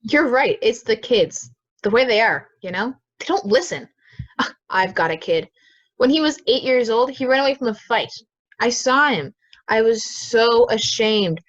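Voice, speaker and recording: A woman playing a gruff, bitter parent on a jury in an amateur audio drama. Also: fairly high pitch, expressive, a little slow, lo-fi sound. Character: gruff, bitter parent juror estranged from a tough kid.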